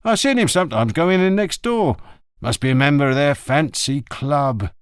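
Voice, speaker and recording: A man's voice: a little high, expressive, normal speed, clean tone, no echo, studio quality, pitched above the performer's own voice, natural voice. A man voicing a cranky old greengrocer. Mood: grudging. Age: older. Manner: indignant, swearing, sarcastic. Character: gruff elderly male greengrocer, quarrelsome. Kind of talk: audiobook character voice.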